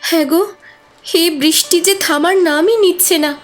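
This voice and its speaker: A woman acting a very high-pitched, fretful farmer's wife. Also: steady tone, moderate pace, soft background music. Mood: worried. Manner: plaintive, concerned. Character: worried, caring wife of a poor village farmer. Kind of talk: cartoon voice acting.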